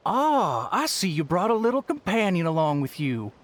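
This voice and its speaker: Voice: mid-range voice, sing-song, moderate pace, slight background noise, natural voice. A performer voicing a cheery, theatrical event host welcoming adventurers in a game cutscene.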